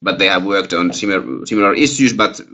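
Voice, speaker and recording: Hesitant Finnish-accented man with bright voice. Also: low-pitched, fast. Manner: hesitant, many fillers and restarts. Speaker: guest lecturer. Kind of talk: webinar Q&A answers. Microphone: headset on video call.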